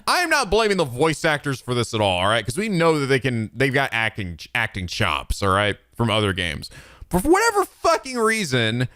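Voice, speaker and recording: A man's voice: mid-range voice, huge pitch swings, moderate pace, pushed and bright, dry recording, clean studio sound. A man giving casual, profane reaction commentary as a let's-play host, blunt and joking.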